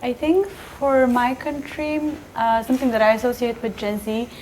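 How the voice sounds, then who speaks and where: A woman in her twenties from Bulgaria speaks as a panelist in a casual round-table discussion. She is relaxed and uses frequent ums. Faint room noise is heard behind her. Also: a little high, normal speed, low-quality recording. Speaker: master's student panelist.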